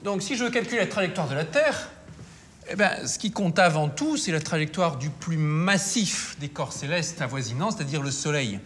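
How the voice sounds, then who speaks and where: A man's voice: fairly high pitch, animated, normal speed, fairly bright tone, slight background noise. Man explaining science step by step. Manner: didactic, step-by-step, with hesitations. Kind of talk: documentary explanation.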